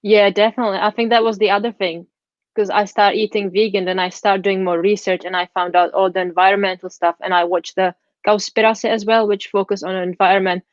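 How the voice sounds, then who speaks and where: A young woman from the Czech Republic with a Czech accent, a guest blogger answering interview questions in a relaxed, frank way on a video call. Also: mid-range voice, fairly quick, no room sound. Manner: relaxed, frank, slightly non-native grammar. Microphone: earbuds on a video call. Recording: dull lo-fi call audio.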